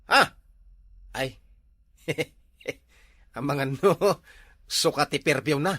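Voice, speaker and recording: A man's voice: on the high side, slow, sharp tone, dry recording, clean studio sound, heavily acted. A man playing a joking older neighbour in a comedy drama who teases and laughs a lot. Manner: teasing, laughing. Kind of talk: comedy web drama acting.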